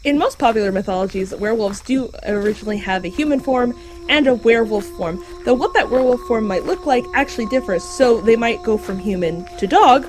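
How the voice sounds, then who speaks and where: A woman's voice: on the high side, a little fast, darkish tone, soft background music, lightly acted, low-quality recording. A woman voicing an easygoing shapeshifting dog creature who explains things matter-of-factly in an audio drama. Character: shapeshifting dog creature, easygoing, helpful park navigator and translator. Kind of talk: fiction podcast audio drama acting.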